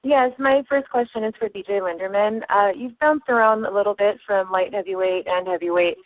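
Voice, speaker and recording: A woman's voice: medium pitch, fairly quick, no room sound, narrow, tinny audio. A woman sports reporter asking prepared interview questions over a phone conference line.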